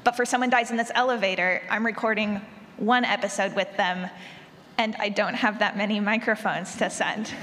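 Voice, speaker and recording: A woman's voice: medium pitch, fairly even intonation, normal speed, a bit bright. A woman speaking conversationally on a panel as an audio drama creator and sound designer. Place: large convention room, live audience. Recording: echoey hall, long reverb.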